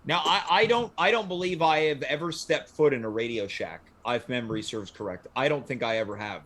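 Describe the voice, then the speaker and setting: A man's voice: medium pitch, fairly quick, a bit bright. A man from Quebec hosting a casual panel chat, inquisitive and steering the conversation. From Quebec, Canada. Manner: inquisitive, moderating. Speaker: talk show host.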